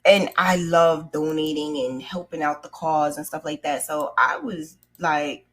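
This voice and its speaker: Low-voiced New woman telling her story. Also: steady tone, unhurried. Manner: candid, conversational, acts out remembered dialogue. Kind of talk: casual personal storytelling.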